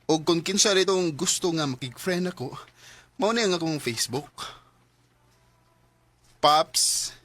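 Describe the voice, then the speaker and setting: A man narrates and plays the lead in a homemade radio drama, sounding heartbroken. His voice is bright and swings widely in pitch. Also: animated, unhurried, pauses often. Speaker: storyteller and lead player.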